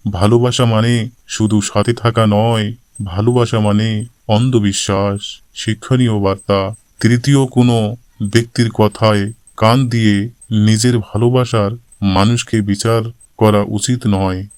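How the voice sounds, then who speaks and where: A man narrating a scripted story in a measured, formal reading style. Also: lowish voice, fairly even intonation, a little mellow, clear, resonant tone, no echo.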